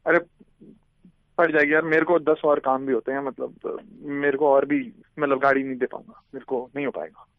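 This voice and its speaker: A man's voice: medium pitch, little pitch movement, normal speed, dry recording. A man talking on the phone, hesitant and stammering. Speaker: caller being pranked. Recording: tinny phone line.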